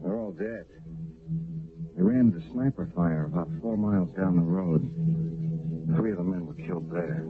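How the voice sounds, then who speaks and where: Tense weary sergeant, husky, lively pitch, tinny old radio sound. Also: male voice, moderate pace, pauses often, music in the background, full character voice. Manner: tense, urgent, reporting. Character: weary, earnest army sergeant back from battle. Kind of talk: 1970s radio drama acting.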